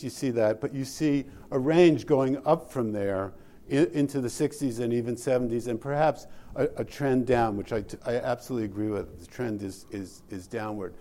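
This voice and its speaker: A man's voice: medium pitch, warm tone. A man presenting pricing data from slides at an industry session, asking the audience for input and restarting sentences often. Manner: explains slides, polls the audience, many restarts.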